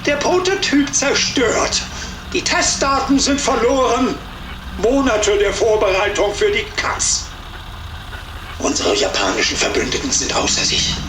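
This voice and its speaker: A furious German officer. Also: male voice, high voice, sing-song, moderate pace, roomy sound, far from the mic, background noise. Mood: contemptuous. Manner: angry, scornful, barking orders. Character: furious German officer, middle-aged man.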